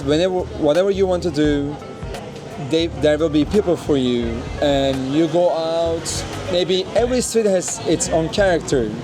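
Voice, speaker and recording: A young man from Turkey speaks English as a native Turkish speaker, with a fairly high, light voice. He talks thoughtfully and sometimes pauses to search for words. Strong music runs behind him. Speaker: English teacher interviewee. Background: background music.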